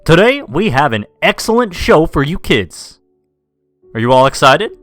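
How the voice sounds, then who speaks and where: A man voicing a cheerful animatronic mole who hosts a kids' stage show in a peppy, booming way with a Mexican-American accent. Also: very animated, unhurried, a bit bright, dry recording, soft background music. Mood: upbeat, showy.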